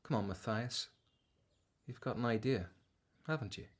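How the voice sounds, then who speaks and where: An actor playing an earnest, weary disciple in biblical radio drama dialogue, sober and questioning. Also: male voice, medium pitch, moderate pace, natural voice. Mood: downcast.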